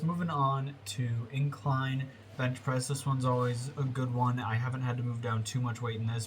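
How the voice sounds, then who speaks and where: A man's voice: mid-range voice, a little hiss or hum. A young man talking casually as a fitness vlogger, rambling with lots of fillers and self-corrections. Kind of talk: casual rambling vlog talk.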